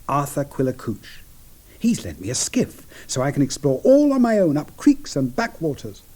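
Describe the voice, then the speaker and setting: An actor playing a mild, affectionate Edwardian gentleman bridegroom in a period radio drama, diffident and whimsical as he woos. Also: on the high side, huge pitch swings, moderate pace. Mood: mild, practical.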